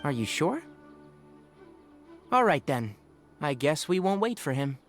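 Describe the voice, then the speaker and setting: A man's voice: fairly high pitch, very animated, measured pace. A man voicing an earnest, worried young tutor in video game dialogue, speaking politely and expressively. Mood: hopeful. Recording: game music behind the voice.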